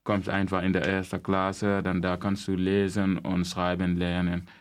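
A young man from Gambia, telling his story in halting German as an interview guest. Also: deep voice, completely flat delivery. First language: not German. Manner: halting non-native German, many restarts and fillers. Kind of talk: casual interview.

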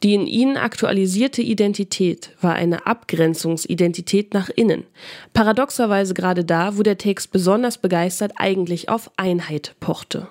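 A woman's voice: medium pitch, normal speed, a little mellow. A woman reads a scripted radio documentary as its narrator.

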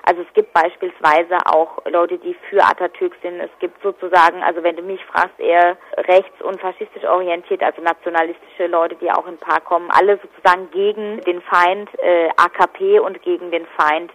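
A woman's voice: lowish voice, fairly even intonation, normal speed, few pauses. A woman giving spontaneous interview answers as an activist. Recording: dull, lo-fi telephone line.

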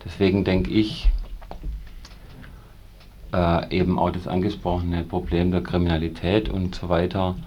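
A man's voice: low voice, level tone, measured pace, pauses often, a little mellow. A man taking part in a panel discussion, putting forward his own opinion in unscripted speech. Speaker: panel participant. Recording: faint background noise.

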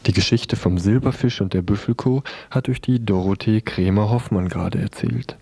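A man's voice: on the low side, moderate pace, creaky, dark tone, breathy edge, no echo. A man hosting children's radio from a studio, addressing young listeners.

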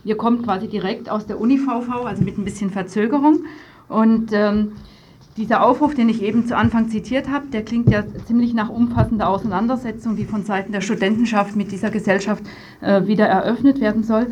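A woman hosts a radio interview in a medium-pitched voice. She builds long questions haltingly, phrase by phrase. The recording carries noticeable noise behind her. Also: fairly even intonation, darkish tone. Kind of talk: live studio interview.

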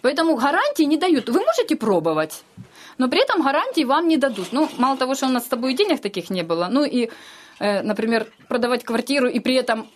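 A woman's voice: sits high, big pitch swings, fast talker. A middle-aged woman recounting personal experiences conversationally, acting out bits of remembered dialogue as she goes. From eastern Ukraine. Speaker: interviewee telling her family's war story.

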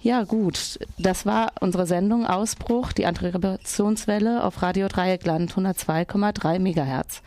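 Female community radio presenter, soft dark tone, dry close sound, halting delivery of informal announcements. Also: medium pitch, steady tone, no room sound. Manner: halting, reading out dates. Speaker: radio host.

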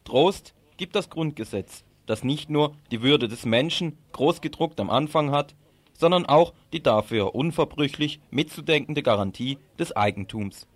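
A man's voice: on the high side, animated, measured pace, no echo. Man presenting scripted radio, dry close sound. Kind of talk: scripted radio commentary and interview.

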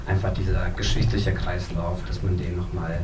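A man's voice: low-pitched, level tone, normal speed, distant mic. A man explaining a film's background informally at a live event. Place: echoey event room. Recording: very noisy, echoey room from afar.

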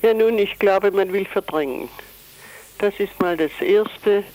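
Older woman, deliberate and emphatic interviewee. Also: mid-range voice, darkish tone, no echo, rough recording. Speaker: chairwoman of a survivors' association, interviewee. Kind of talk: spoken interview statement.

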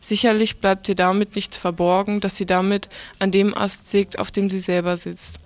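A woman's voice: medium pitch, level tone, normal speed. A woman presenting radio news, reading from a script.

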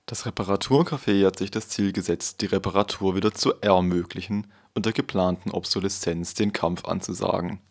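A man with a very deep, gravelly voice, slightly husky, reads a calm news voice-over. His delivery is measured and even. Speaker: reporter narrating. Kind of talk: scripted voice-over narration.

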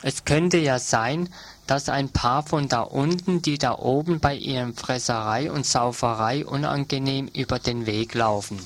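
Blunt, sardonic man in radio commentary. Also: mid-range voice.

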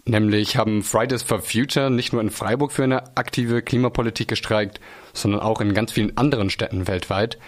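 A man's voice: fairly low pitch, steady tone, brisk. A man working as a radio reporter, narrating a local event in a conversational way.

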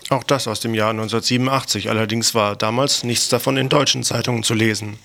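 A male radio news presenter reads from a script. His voice sits in a middle pitch and has a gravelly, creaky edge. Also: fairly even intonation, quick pace, bright, forceful tone.

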